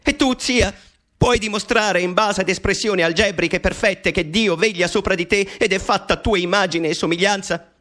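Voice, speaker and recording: A high-voiced eccentric young mathematician. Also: male voice, normal speed, sharp tone. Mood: reflective. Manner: intimate, playful, nervously eccentric. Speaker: actor playing Alan Turing. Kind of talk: theatrical radio monologue. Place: studio.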